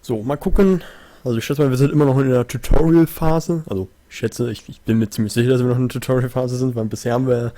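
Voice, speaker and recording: A man's voice: mid-range voice, steady tone, quick pace, hardly any pauses, dark tone. A man giving casual, laid-back commentary as he plays a video game, muttering dry reactions. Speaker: let's play host. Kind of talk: casual gameplay commentary in German.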